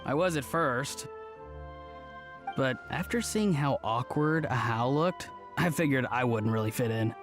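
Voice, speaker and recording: A woman's voice: extremely low pitch, expressive, measured pace, music in the background. A woman playing a mild, good-natured, unbothered young friend in a video game, speaking in a friendly way. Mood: mild, playful. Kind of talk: video game character acting.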